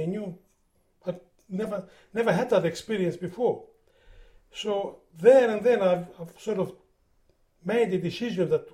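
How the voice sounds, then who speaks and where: Older Greek-born man, accented English, higher pitched with a warm dark tone, halting reminiscence. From Greece. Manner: halting, repeats words while recalling. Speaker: interviewee. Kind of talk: oral history interview.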